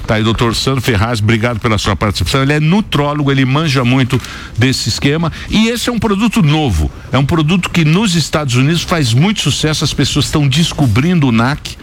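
A man's voice: mid-range voice, lively intonation, fast talker, slight background noise. A Brazilian man hosting a show, chatting casually and plugging products. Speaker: show host. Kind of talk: casual chat and product plugs.

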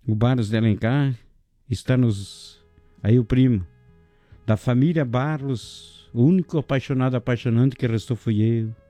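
A chatty man hosting a local radio show. His voice is dark and mellow, with soft music playing faintly behind him. He jokes around and rattles off shout-outs to listeners and sponsors. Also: medium pitch, moderate pace, dry recording. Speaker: radio host. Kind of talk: casual local radio chat.

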